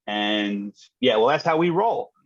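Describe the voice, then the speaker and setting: A man's voice: medium pitch, expressive, measured pace, no room sound, dull audio. A man telling work stories in a conversational podcast style, matter-of-fact, colloquial and salty. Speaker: guest, retired fire dispatcher.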